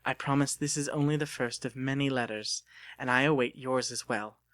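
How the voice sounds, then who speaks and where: A man's voice: mid-range voice, steady tone, normal speed, fairly bright tone, no room sound, clean studio sound, lightly acted. A man voicing an earnest, lovelorn young woman with formal phrasing in an audiobook. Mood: earnest, regretful.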